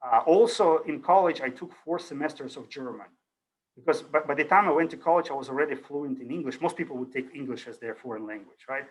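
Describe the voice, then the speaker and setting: A man's voice: a little high, fast talker, slightly rough, rough recording. A middle-aged man from Ukraine, a native Russian speaker, giving a casual university lecture over a video call, rambling with many fillers and restarts.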